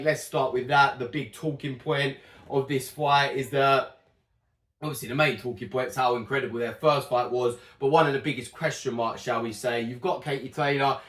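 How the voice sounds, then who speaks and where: A young man chatting casually and rambling through a fight prediction, correcting himself often, his voice a little worn. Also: mid-range voice. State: slightly losing his voice. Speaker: boxing channel host. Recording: echoey room.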